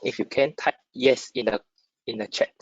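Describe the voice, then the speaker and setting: A Singaporean-accented man gives an informal webinar talk in a conversational, interactive way, tossing in short check-in questions. His voice sits at a medium pitch, captured dry and slightly dull over an online connection. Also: steady tone, moderate pace, dry recording. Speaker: webinar speaker, stock dealer. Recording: dull lo-fi online call audio.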